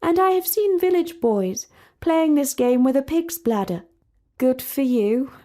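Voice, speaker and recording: A woman's voice: high-pitched, animated, unhurried, warm tone, pitched above the performer's own voice. A woman voicing a polite young princess who seems to come from another age, speaking in a prim, formal way. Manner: prim, formal, old-fashioned.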